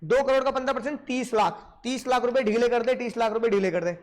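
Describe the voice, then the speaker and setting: Male lecturer, very high-pitched, sore throat, addresses students directly and drives points home through repetition. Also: fast. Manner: repeats phrases for emphasis, addresses students directly. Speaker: commerce teacher. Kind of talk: animated classroom lecture in Hindi-English mix.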